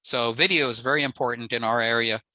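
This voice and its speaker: A man's voice: mid-range voice, steady tone, fast, pushed and bright, no room sound. An older American man giving an informal slide presentation in a matter-of-fact, explanatory way. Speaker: presenter, amateur radio operator. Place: indoors on a video call.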